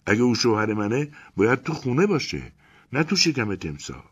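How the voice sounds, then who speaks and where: A man reading aloud and voicing a quick-tempered young wife who shrieks and complains in indignant bursts. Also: medium pitch, sing-song, breathy edge, voice pushed up. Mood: defiant. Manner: shrieking, exasperated, rapid complaints. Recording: dull lo-fi recording.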